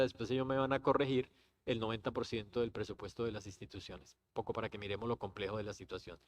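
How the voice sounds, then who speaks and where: A man from Colombia moderating a conference panel, formal and explanatory as he sets out figures before handing over the floor. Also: mid-range voice. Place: conference auditorium.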